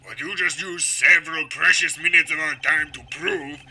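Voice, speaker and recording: Man acting a sharp, haughty prosecutor. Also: fairly high pitch, normal speed, rough recording. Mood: scornful. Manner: haughty, precise, sneering. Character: arrogant, contemptuous male prosecutor. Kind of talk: video game fandub character acting.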